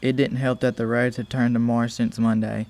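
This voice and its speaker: A teenage boy plays a flustered master of the house in a school radio play. He is a chatty, joke-loving gentleman who stammers as he tries to please. His voice is heavy with fry and moves over a fairly flat range. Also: mid-range voice, moderate pace, lightly acted. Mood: jovial, apologetic. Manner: stammering, talkative, eager to please.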